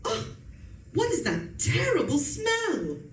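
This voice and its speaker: A woman's voice: extremely high pitch, wildly swooping pitch, takes it slowly, exaggerated voice. A woman playing a bossy, scolding middle-aged wife in an old-time radio suspense play. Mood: exasperated. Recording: roomy, distant mic, faint noise.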